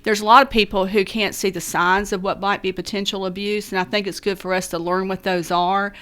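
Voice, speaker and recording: Earnest woman with a smooth, clear voice. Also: mid-range voice, little pitch movement, a little fast, fairly bright tone. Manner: earnest, with frequent fillers and restarts. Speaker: guest, Rotary Club member; Sunday school teacher. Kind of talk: casual radio interview talk. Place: radio studio.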